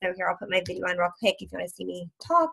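Bright, upbeat woman on a video call. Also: fairly low pitch, lively intonation, racing, vocal fry, breathy edge. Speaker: session moderator. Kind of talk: casual chat.

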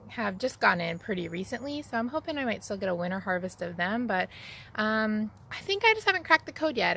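A woman in her thirties, hosting a casual backyard garden tour vlog, talking in a relaxed, rambling way with many ums, recorded on a phone held at arm's length outdoors. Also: mid-range voice, creaky, slight background noise. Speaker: vlog host.

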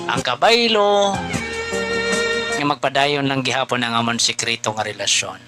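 A man's voice: medium pitch, moderate pace. A man with a Western Visayan Filipino accent, hosting an advice show and dramatically reading out a listener's letter. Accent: Western Visayan Filipino (Hiligaynon). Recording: loud music behind the voice. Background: loud music.

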